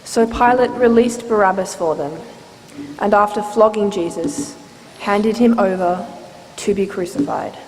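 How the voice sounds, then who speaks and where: A woman giving a measured, solemn scripted narration of scripture as part of a dramatic reading. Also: medium pitch, animated, darkish tone. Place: inside a church, live reading. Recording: reverberant church hall, faint noise.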